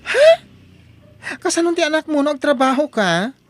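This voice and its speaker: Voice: sits high, expressive, moderate pace. Sassy, swooping, outspoken Ilocano friend put on by an actor. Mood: teasing, excited. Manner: exclamatory, dramatic, swooping. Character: outspoken, sassy, kind-hearted friend of the young mother. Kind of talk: Ilocano audio drama acting.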